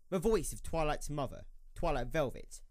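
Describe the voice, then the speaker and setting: Guy narrating an audio drama, lively intonation, slightly high voice, reading from a script. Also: normal speed.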